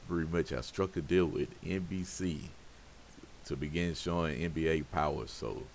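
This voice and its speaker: A man with an extremely low, scratchy voice talks through his game in a casual, rambling way, stacking up "you know" and "pretty much" as he goes. A faint hiss sits under the recording. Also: moderate pace. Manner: rambling, loose, full of fillers. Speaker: game streamer. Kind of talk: casual gameplay commentary.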